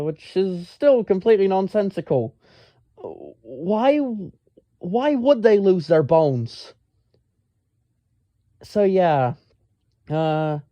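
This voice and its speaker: A man telling a casual, rambling story in a pedantic, exasperated, halting manner. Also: on the high side, no echo, muffled recording. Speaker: storyteller, amateur science enthusiast.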